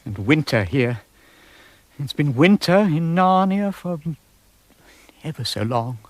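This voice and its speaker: A man's voice: a little high, very animated, slow pace, no echo. A British man playing a timid, polite faun in a radio drama, hesitant and courteous, easily flustered and eager to please. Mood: apologetic, courteous. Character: timid, polite, excitable male faun, fussy and hospitable.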